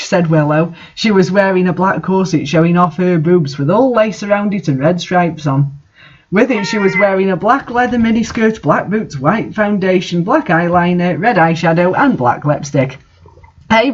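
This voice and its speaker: A woman's voice: lowish voice, dull audio. A woman reading fan fiction aloud as a dramatic narrator. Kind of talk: dramatic story reading with asides.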